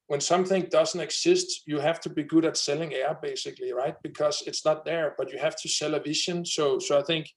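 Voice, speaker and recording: Danish guy talking fast and excited in an interview, fairly high voice, lots of you knows. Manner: energetic, many fillers and restarts. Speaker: company founder, guest. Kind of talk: conversational interview.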